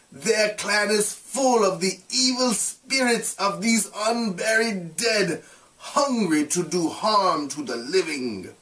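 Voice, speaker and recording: A man's voice: high voice, fairly bright tone, far from the mic, voice pushed up, rough recording. A man reading the part of a scornful, dignified village elder who declaims emphatically, in a storybook read-aloud.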